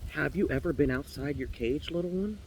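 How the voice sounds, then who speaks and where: A man voicing a wise, free-spirited female raven in a storybook tale, speaking in a measured, solemn, coaxing way. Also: fairly high pitch, gravelly, a little mellow, slight background noise, rough recording.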